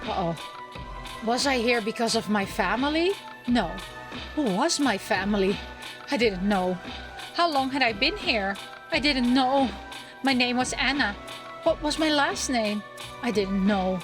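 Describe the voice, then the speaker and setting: A woman reading a visual novel aloud as a gameplay host, expressive and theatrical, with playful asides. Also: on the high side, a little slow, over music.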